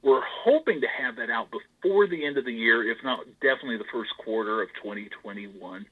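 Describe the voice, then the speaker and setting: A man, an author, chatting loudly and with lots of animation as an interview guest, laughing and acting out the voices in his stories. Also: mid-range voice, brisk, phone-quality sound. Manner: loud, animated storyteller, laughs often; acts out quoted voices. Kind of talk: casual interview chat.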